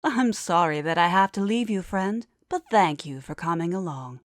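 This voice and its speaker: A woman narrating scripted fiction in a measured, earnest manner, as a podcast storyteller. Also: on the low side, lively intonation, dry recording. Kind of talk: scripted fiction narration, mock advice column.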